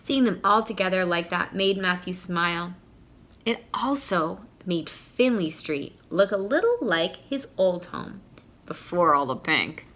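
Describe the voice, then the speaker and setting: A woman reading a picture book aloud to young children in an animated, teacherly way. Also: on the low side, measured pace. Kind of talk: expressive children's read-aloud.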